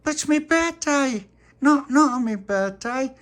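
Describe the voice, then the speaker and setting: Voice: high voice, animated, unhurried, a bit bright, voice pushed up. A storyteller voicing a small, plaintive, easily wounded birthday boy. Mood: dismayed.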